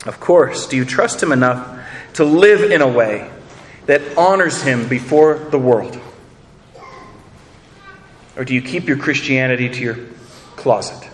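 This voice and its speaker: A man's voice: mid-range voice, unhurried, long pauses, big-room sound, slight background noise. A man preaching a sermon, earnest, asking rhetorical questions and repeating words as he builds each point. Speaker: pastor.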